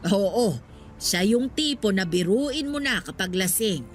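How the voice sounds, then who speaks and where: A woman's voice: mid-range voice, animated, slow. An actress playing an older motherly woman, plain-spoken and fond, in conversational Tagalog radio drama dialogue. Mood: chatty.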